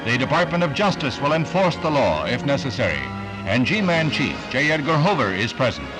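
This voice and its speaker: Animated 1960s American newsreel announcer, music underneath. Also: male voice, mid-range voice, rough recording. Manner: brisk, punchy, dramatic announcer delivery. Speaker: newsreel narrator. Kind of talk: 1960s newsreel scripted narration. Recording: vintage newsreel soundtrack, loud music underneath. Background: loud music bed.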